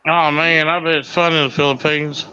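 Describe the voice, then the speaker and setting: A man's voice: a little high, flat delivery, normal speed, sharp tone, muffled recording. A man in his forties from Kentucky, chatting casually as a guest on a video call and asking lots of questions. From Kentucky, USA. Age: 40s. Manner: loose and chatty, asks questions. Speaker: livestream guest. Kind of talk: casual chat.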